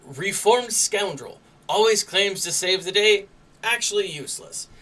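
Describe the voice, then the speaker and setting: A man's voice: high voice, a little slow, pushed and bright, far from the mic. A man giving casual, opinionated commentary to viewers as a video host, conversational and emphatic, often checking in with a tagged-on "right?". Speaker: YouTube host and reviewer.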